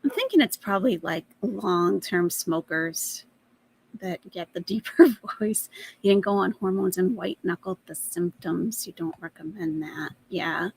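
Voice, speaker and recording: Reaction host, woman in her 40s, gravelly husky voice, chatty and laughing. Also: lowish voice, a little slow, a little mellow, poor audio. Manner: chatty, asks viewers questions, laughs often. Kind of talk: casual commentary reacting to a clip.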